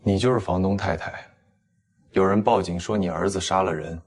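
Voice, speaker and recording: A man performs a young police captain for an animated drama. His voice is fairly low and husky, and he questions people in a restrained, matter-of-fact way, shrewd and probing. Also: normal speed. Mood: official. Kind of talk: Chinese animated audio drama acting.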